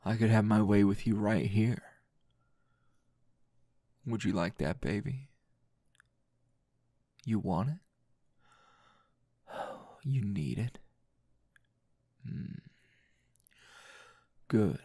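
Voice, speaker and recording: A man playing a weary, teasing male teacher hero in an intimate ASMR roleplay, with a low gravelly voice and lingering pauses. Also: dark tone, no echo, full character voice. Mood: teasing, seductive. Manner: slow, breathy murmuring with sighs and long pauses.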